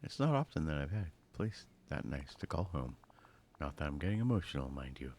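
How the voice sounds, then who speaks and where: A man's voice: extremely low pitch, big pitch swings, fairly quick, a little mellow, a little hiss or hum, exaggerated voice. A man voicing a charming, cocky roguish thief from a video game, flippant and teasing. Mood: sheepish.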